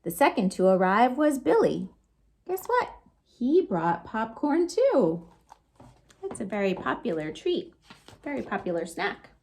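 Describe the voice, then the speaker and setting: A woman with a fairly high voice hosts a children's storytime. She reads at a leisurely pace with big, swooping intonation. Her manner is sing-song and expressive, with chatty asides to the kids. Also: unhurried, slightly rough. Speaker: children's librarian storytime host. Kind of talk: animated picture-book read-aloud for children.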